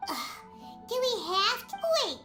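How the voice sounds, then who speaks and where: A woman voicing a small, childlike, guileless and excitable fairy companion in a video game. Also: unhurried. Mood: whiny. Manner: squeaky, exclamatory, childlike. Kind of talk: game voice acting. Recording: music behind, roomy game audio. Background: game music.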